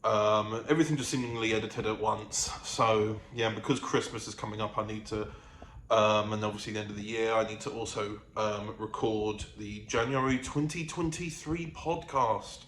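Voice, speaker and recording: A man's voice: on the low side, steady tone, moderate pace, fairly bright tone. A man chatting casually to a vlog, rambling hesitantly, correcting himself and trailing off. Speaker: vlogger. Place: living room of own apartment. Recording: echoey room with faint ambient noise.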